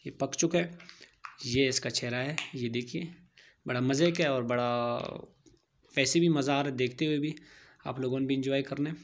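A man's voice: mid-range voice, moderate pace, pauses often, a little hiss or hum. A man narrating a home cooking tutorial, giving plain step-by-step instructions and repeating key phrases. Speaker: home cooking channel host.

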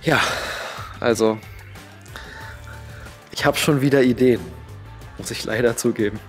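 A man's voice: mid-range voice, measured pace, soft background music. A man, talking casually and emphatically to a handheld camera indoors. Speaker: vlogger, gym owner and coach. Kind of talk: casual vlog talk to camera. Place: large indoor rooms, empty hall and tiled gym. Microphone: camera held at arm's length.

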